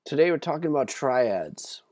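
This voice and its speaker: A man with a croaky voice giving a casual livestreamed music theory lesson as a guitar teacher, often checking in with "okay?". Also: a little high, very animated, normal speed, a little mellow, dry recording.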